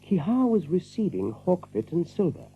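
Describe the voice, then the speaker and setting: A man with a husky voice, playing a thoughtful, knowing rabbit storyteller in a dramatized audiobook. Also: high-pitched, very animated, normal speed, dull audio, a little hiss or hum. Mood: narrating.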